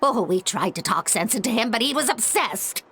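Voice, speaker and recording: A woman's voice: on the high side, expressive, normal speed, bright, forceful tone, husky, exaggerated voice. An actress playing a stern, bitter middle-aged mother in a video game, curt, scornful and emphatic. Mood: cold, bitter. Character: bitter, stern mother who has disowned her son.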